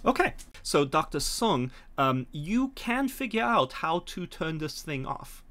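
A middle-aged man narrating a tabletop horror game as game master, deliberate and often pausing, on a home video call. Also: a little high, big pitch swings, a bit bright.

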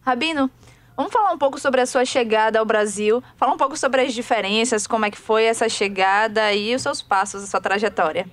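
A woman's voice: on the high side, a little fast, dry recording. A woman radio host asking guests questions in a friendly, conversational way.

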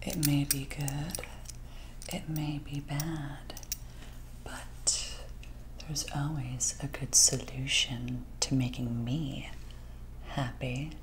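Woman playing a very slow, whispering sinister doctor. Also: a bass voice, little pitch movement, a little hiss or hum, exaggerated voice, rough recording. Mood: sinister, teasing. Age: young adult. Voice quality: breathy whisper. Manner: slow, deliberate, teasing. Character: sinister, playful female mad doctor, menacingly sweet. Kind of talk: ASMR roleplay, mostly whispered. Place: living room at home. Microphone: close to the mic.

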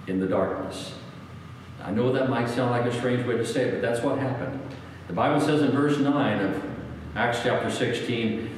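An older American man preaching a sermon as a pastor, repeating phrases for emphasis with deliberate pauses. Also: medium pitch, slight background noise. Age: 60s or older. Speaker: guest preacher, pastor and former military chaplain. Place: church sanctuary, at a wooden lectern. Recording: very echoey church hall, long reverb.

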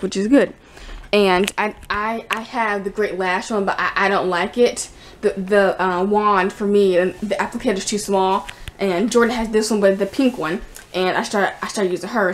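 A young woman with an American accent chatting casually to the camera in an informal, halting, rambling way. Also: mid-range voice. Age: teens to young adult. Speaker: vlogger. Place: indoors, home room. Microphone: camera at arm's length. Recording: noisy handheld camera recording with room ambience.

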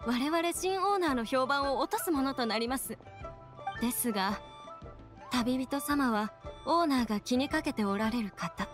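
An actress playing a polite, composed young teahouse attendant in a Japanese video game, formal and deferential. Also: female voice, high-pitched.

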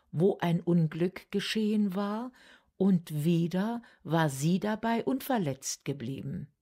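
An actress playing a caring, sorrowful middle-aged village confectioner who confesses in a measured, earnest, hesitant radio play monologue. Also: female voice, lowish voice, gravelly, a little mellow, full character voice.

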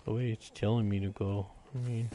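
A man's voice: fairly low pitch, fairly even intonation, brisk, warm tone, slight background noise. A man doing casual live gameplay commentary in a playful, exclaiming way, often talking to himself. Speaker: game streamer.

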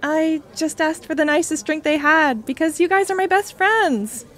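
Woman playing young woman, high-pitched, breezy, offhand, teasing, audio drama. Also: normal speed, a bit bright. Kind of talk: amateur audio drama acting.

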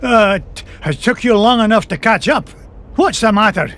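An actor playing a crusty, determined elderly duck tycoon in a cartoon game, crotchety and emphatic, with a Scottish accent. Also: male voice, sits high, animated, normal speed, fairly bright tone, a little hiss or hum.

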